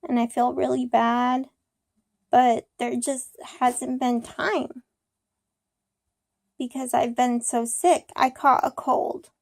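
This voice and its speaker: Young female vlogger, hoarse with a cold, slow and rambling, dry close sound. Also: sits high, fairly even intonation, no echo. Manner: rambling, repetitive, many ums and restarts. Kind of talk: casual personal vlog.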